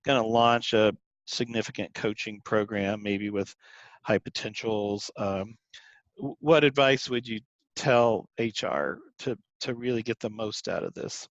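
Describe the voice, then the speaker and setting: A man's voice: fairly low pitch, fairly even intonation, measured pace, no room sound. A man hosting a webinar, asking polite, encouraging questions. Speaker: webinar host. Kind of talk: webinar discussion. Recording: dry, dull lo-fi webinar audio.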